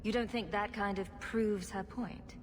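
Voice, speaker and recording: An actress as a witty adventurer heroine in a video game. Her mid-pitched voice is wry, flippant and confident, with long reverb and faint noise. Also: fairly even intonation, a little slow, lightly acted. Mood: teasing. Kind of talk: video game cutscene acting.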